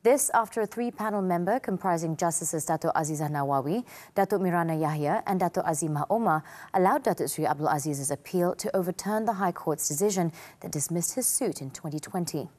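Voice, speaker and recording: A female news anchor speaks. Her voice sits on the low side as she delivers prepared bulletins in a formal newsreading style. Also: brisk, few pauses, darkish tone. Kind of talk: scripted news reading.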